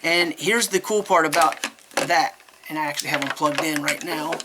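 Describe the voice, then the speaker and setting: A man's voice: on the high side, normal speed, noisy background. A man giving a casual, unscripted product review in a chatty, rambling, candid way.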